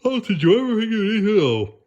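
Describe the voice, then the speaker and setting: Guy doing a dopey happy starfish buddy from a storybook, confident n boisterous, voice pushed up high. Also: big pitch swings, fast, a little mellow, lo-fi sound. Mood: enthusiastic. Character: dim, cheerful, confident male starfish buddy. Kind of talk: storybook character voice.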